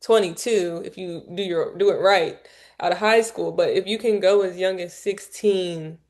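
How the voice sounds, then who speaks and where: A woman's voice: lowish voice, fairly even intonation, moderate pace, a little mellow. A woman interviewing in a casual, conversational way, informally thinking aloud as she frames her questions.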